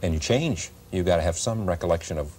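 A man's voice: sits low, fast, warm tone, slight background noise. A middle-aged man hosting a broadcast interview, probing and rephrasing his questions at length, on a lapel mic in a studio. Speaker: talk show host. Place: television studio set.

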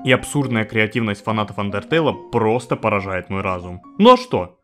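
A man narrates a humorous scripted video with very lively intonation. He is sarcastic and swears freely, with faint music playing underneath. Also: fairly low pitch, fairly quick.

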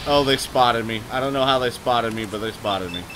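A man streaming live gameplay, talking loud and excitably, with a booming voice, cursing at the game and answering chat. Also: brisk, very noisy. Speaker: gaming streamer.

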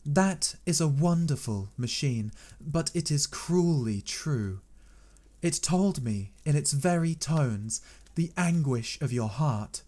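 A man reading a Victorian novel aloud, voicing a young woman who pleads earnestly and gravely to help in a terrible struggle. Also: fairly high pitch, lively intonation, takes it slowly, dark tone, pitched above the performer's own voice. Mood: earnest pleading.

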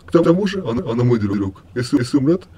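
A man's voice: mid-range voice, very animated, fast talker, dark tone, husky. A man voicing an insane, menacing skeleton in an amateur comic dub, with glitchy stutters and repeated words. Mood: casual, unhinged.

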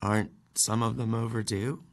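A man's voice: lowish voice, fairly even intonation. An actor with a male-sounding voice playing an earnest, anxious transgender teenage girl in an audio play, hesitant and apologetic. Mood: curious, apologetic. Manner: hesitant, questioning, quick to apologize. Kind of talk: audio drama acting of a stage play.

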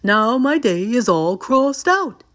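Woman acting fretful storybook toad, very animated. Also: on the high side, slow, pitched above the performer's own voice, exaggerated voice. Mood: excited. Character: fretful, literal-minded male toad, easily upset. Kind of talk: children's storybook read-aloud.

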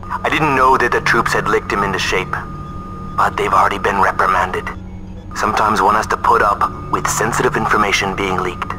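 A man's voice: on the low side, steady tone, moderate pace, over music, natural voice, rough recording. A man playing a condescending, coldly menacing colonel, a sardonic villain in a video game. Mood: smug, menacing.

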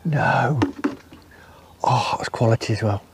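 A man in his fifties, an angler talking casually to the camera from a lakeside bank, soft-spoken and often murmuring under his breath. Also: mid-range voice, takes it slowly. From England. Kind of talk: casual fishing commentary. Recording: faint outdoor noise with birds.

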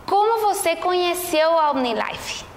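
A woman's voice: very high voice, lively intonation, measured pace, noisy background. A young woman hosting a studio interview with a lapel mic, asking friendly questions with small hesitations. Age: young adult. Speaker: TV host. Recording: echoey studio with long reverb.

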